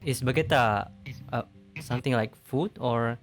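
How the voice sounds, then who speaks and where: A man's voice: medium pitch, normal speed, faint music behind. A man from Indonesia with an Indonesian accent, a native Indonesian speaker, chatting casually in simple learner's English. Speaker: video host. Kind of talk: casual chat. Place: online VR voice chat.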